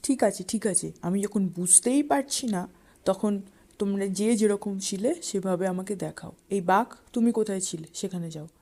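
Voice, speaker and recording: Woman voicing a mellow, wily jackal uncle. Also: mid-range voice, normal speed, close to an everyday voice. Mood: crafty, directing. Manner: wily, feigned puzzlement. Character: sly jackal uncle feigning slow-witted confusion. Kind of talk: children's animated fable dialogue.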